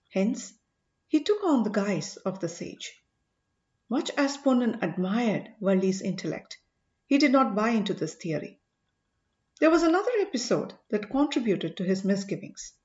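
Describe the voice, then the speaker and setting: A woman's voice: on the high side, darkish tone. A woman reading a novel aloud as scripted audiobook narration, telling the story and its characters' thoughts. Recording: roomy echo, dull lo-fi sound.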